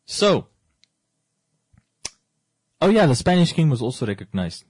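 A man with a deep bass voice, hosting a casual studio discussion and explaining points at length. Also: big pitch swings, measured pace, a little mellow, slightly rough, dry recording. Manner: explains at length, rising in pitch when pressing a point. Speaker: radio show host. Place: broadcast studio.

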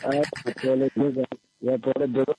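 Soft-spoken polite male caller. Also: mid-range voice, flat delivery, brisk, a little hiss or hum, low-quality recording. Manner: polite, apologetic.

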